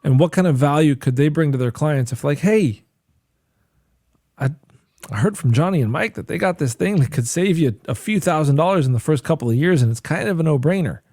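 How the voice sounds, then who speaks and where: A man's voice: a little high, animated, a little fast, a little mellow, no room sound. Easygoing male podcast co-host, dry sound. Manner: easygoing, joking, explanatory. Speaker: podcast co-host, loan officer. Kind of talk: casual chat.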